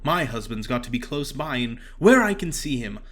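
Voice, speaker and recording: A man's voice: medium pitch, big pitch swings, normal speed, fairly bright tone. A man voicing a small, frank young chorus girl in an audiobook, chatty, earnest and plain-spoken. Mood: earnest, firm. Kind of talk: audiobook character acting.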